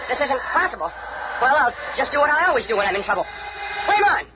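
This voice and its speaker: High, excitable superhero. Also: male voice, full character voice. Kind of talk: 1970s radio drama acting. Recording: muffled, very noisy old radio recording.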